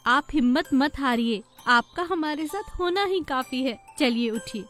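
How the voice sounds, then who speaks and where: A woman voicing a gentle, devoted young village wife in a cartoon moral story, encouraging and respectful. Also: sits high, animated, measured pace, soft background music. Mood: tender, encouraging.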